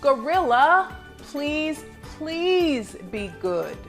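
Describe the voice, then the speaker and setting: Casting: woman playing a pleading storybook kid scolding a naughty pet, high and swooping, words stretched out. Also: big pitch swings, soft background music, exaggerated voice. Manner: exclaiming, drawn-out pleading.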